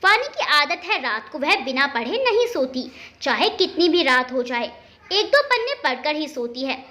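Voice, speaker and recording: A woman storyteller reading a scripted family story in an expressive narrating style. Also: high-pitched, moderate pace.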